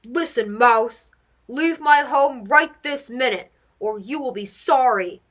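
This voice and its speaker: A woman voicing a cranky, selfish old male monkey with a gruff, scolding manner in a children's storybook. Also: a little high, takes it slowly, a bit bright, highs cut off. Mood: stern, threatening.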